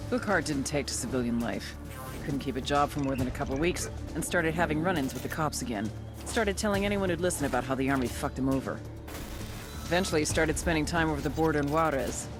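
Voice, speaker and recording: Tough CIA handler, low voice, sardonic, controlling, foul-mouthed, game cutscene, music behind. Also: female voice. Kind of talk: cinematic game cutscene acting, briefing narration.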